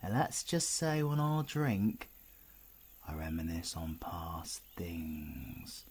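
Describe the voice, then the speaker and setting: Husky, low-voiced British supervillain, acted by a man. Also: slow pace, heavily acted. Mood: aloof, sardonic. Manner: gruff, sardonic, swearing banter. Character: cocky, irritable, teasing male supervillain with dark magic. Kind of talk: audio roleplay, spoken intimately to the listener.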